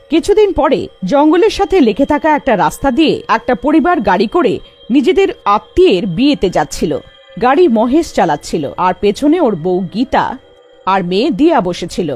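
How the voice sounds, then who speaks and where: High-pitched woman narrating a story. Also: darkish tone, slightly rough. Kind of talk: scripted horror fairy-tale narration.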